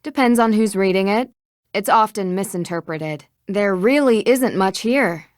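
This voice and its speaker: A woman's voice: mid-range voice, a little slow, no echo, natural voice. An actress playing a curious, confident young female detective in a video game, inquisitive and a little dry in manner. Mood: skeptical.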